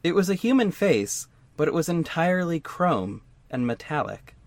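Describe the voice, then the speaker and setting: A man's voice: on the high side, very animated, moderate pace, no room sound. A man playing an earnest young hero who narrates his own story in a conversational, scripted audio drama style. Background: music.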